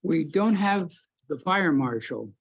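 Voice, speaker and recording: Gravelly husky man chairing a meeting. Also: on the high side, expressive, highs cut off. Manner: friendly, conversational, halting. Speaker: board chairman. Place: township meeting room.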